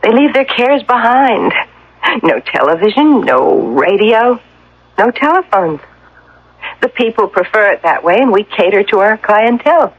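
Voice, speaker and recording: A folksy woman of the resort in a radio drama. She is chatty and reassuring, with an insistent, breezy hospitality. Her medium-pitched voice comes through a muffled old recording. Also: very animated, measured pace. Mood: soothing.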